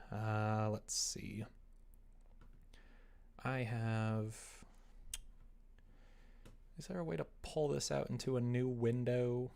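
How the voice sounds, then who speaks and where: Guy streaming game dev stuff, gravelly creaky voice, rambles and mumbles to himself, kinda self-deprecating. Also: fairly low pitch. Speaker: live streamer, game developer. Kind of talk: casual livestream chat while working on screen.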